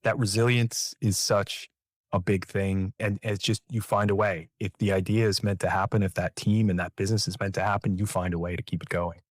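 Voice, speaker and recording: Man hosting, lowish voice. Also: steady tone, fairly quick, no room sound, studio quality. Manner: affirming, builds long framing questions. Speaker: podcast host. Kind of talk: fireside chat interview.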